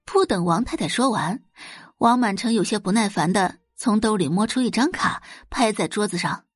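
A woman narrates an audio drama from a script in a dramatic style. Her pitch moves widely, with a slightly husky tone, very dry and close, over faint music. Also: lively intonation, moderate pace, no room sound.

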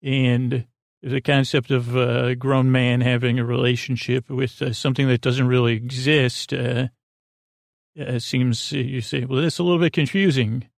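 Male sleep podcast host, middle-aged, mid-pitched and croaky, silly meandering monologue with repeats and unfinished thoughts. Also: fairly even intonation, measured pace, dry recording, clean studio sound. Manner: silly, whimsical. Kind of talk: rambling bedtime monologue.

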